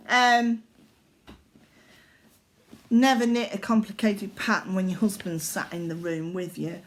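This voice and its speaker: Slow, chatty older Yorkshire woman. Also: fairly high pitch. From Yorkshire, England. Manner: slow, chatty and rambling, with many fillers and self-corrections. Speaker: craft vlogger. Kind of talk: casual chat showing needlework.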